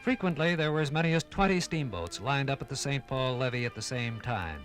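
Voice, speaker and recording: A man narrating a scripted 1950s radio history program with measured, emphatic announcer phrasing. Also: mid-range voice, lively intonation, a bit bright. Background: instrumental music.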